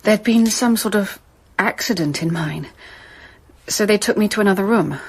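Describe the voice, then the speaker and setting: An actress playing a resolute but anxious young Victorian lady in a radio drama, her voice breathy. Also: medium pitch, normal speed. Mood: shaken.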